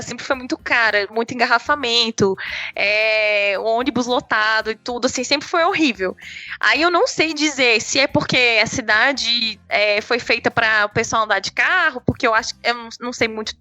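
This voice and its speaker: A woman's voice: fairly high pitch, bright, forceful tone, no room sound. A woman with a Brasília accent, chatting casually as a podcast guest, rambling with lots of fillers. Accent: Brasília Portuguese. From Brasília, Brazil. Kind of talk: casual chat.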